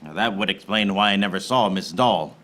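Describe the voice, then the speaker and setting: Man acting a bright-voiced hard-boiled private eye. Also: sits low, brisk. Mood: deadpan. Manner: wry, self-aware wisecracking. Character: hapless hard-boiled male private eye narrating his case. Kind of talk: film noir radio serial parody. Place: theater stage before a live audience. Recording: live theater recording with background noise.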